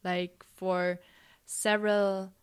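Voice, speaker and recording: Slow, hesitant woman from Germany. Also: mid-range voice, level tone, clear, resonant tone. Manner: hesitant, many ums, thoughtful. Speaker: guest. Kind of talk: casual conversation.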